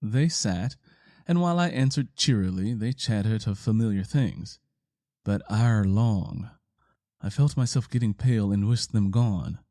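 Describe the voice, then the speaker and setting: A man's voice: lowish voice, dark tone, no room sound, clean studio sound. A man performing a gothic story as a paranoid, guilt-ridden murderer confessing, in a theatrical, vehement manner. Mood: smug, confident, then uneasy, mounting dread. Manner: theatrical, vehement, emphatic repetition. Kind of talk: dramatic first-person gothic story reading.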